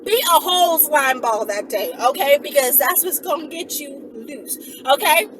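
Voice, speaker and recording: Animated high-voiced woman, distant noisy recording. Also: normal speed. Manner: animated, emphatic, sweary. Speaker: channel host. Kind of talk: casual self-defense storytelling, acted-out scenario. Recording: distant mic with clear background noise.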